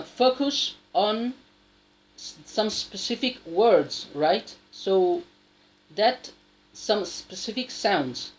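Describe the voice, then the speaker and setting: Slow, halting man. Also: high-pitched, rough recording. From South America. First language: Spanish. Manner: halting, with many restarts and a frequent tag question. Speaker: English learner sharing advice. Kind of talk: casual spoken monologue.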